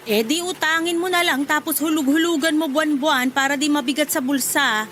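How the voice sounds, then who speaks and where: Woman acting a high-voiced, demanding nagging mother. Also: a little fast, slight background noise. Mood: coaxing. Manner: nagging, expressive. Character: demanding, wheedling middle-aged mother of grown children. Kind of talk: radio drama acting.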